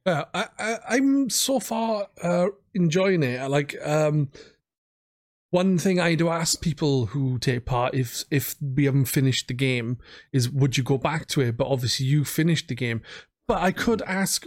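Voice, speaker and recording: A man with a voice a little on the high side hosts a relaxed video game podcast. He talks casually and haltingly, doubling back on the starts of words as he finds his way through a thought. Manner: halting, repeats word starts. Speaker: podcast host. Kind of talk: casual gaming podcast chat.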